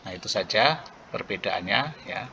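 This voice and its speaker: Male accounting lecturer, bright edge to a lowish voice, halting step-by-step explanation, dull recording with faint noise. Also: expressive. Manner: halting, with pauses mid-phrase. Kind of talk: explanatory lecture walking through worked journal entries.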